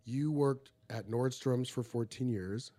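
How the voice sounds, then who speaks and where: A man's voice: medium pitch, slow, mellow. Chatty joking man hosting a podcast. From Egypt. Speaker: podcast host. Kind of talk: casual podcast chat. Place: podcast studio.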